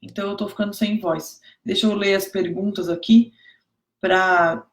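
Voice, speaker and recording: A hoarse woman teaching exam preparation on a live stream, emphatic, repeating key points and checking that viewers follow. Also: medium pitch, fairly even intonation, normal speed. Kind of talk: motivational live stream talk. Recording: echoey room.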